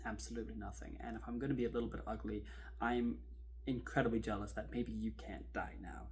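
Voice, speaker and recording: A man playing an anxious, bitter man terrified of death, speaking in halting, intense bursts in a confessional horror drama. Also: medium pitch, fairly bright tone, lightly acted. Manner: halting, intense, breaking phrases apart.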